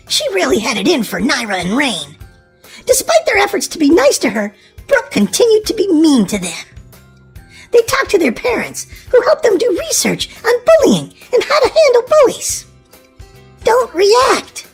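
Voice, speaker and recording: Very high, animated woman reading aloud. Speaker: storybook narrator. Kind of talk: children's story read aloud.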